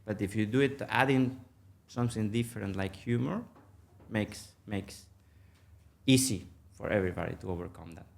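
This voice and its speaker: A middle-aged man from Venezuela, Spanish native, speaking English with a Venezuelan accent, halting and full of fillers in a casual interview. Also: fairly low pitch, gravelly. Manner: halting, many fillers and restarts. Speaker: guest, mergers and acquisitions manager.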